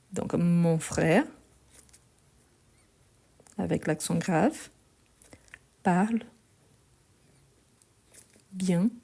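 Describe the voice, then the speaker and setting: Slow, patient woman teaching French. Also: on the low side, gravelly, mellow, breathy edge. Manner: slow, patient explaining with many "donc" and repetitions. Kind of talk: live online French lesson correcting a text. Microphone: phone held in hand.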